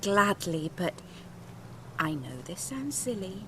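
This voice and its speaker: A tender young noblewoman bride in a fantasy game. She speaks very slowly and gently with lively melodic swings, over clear background noise. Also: medium pitch. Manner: gentle, romantic, formal. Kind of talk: dramatic video game acting.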